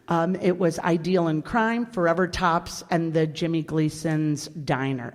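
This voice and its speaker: A woman with a slightly low voice gives an informal introduction as a show's director and host. She addresses an audience in a hall with long reverb. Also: darkish tone. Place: hall, live stage performance.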